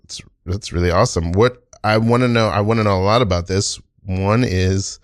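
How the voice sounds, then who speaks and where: Low-voiced man hosting, very dry sound. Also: normal speed, no room sound. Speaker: podcast host. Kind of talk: casual interview chat.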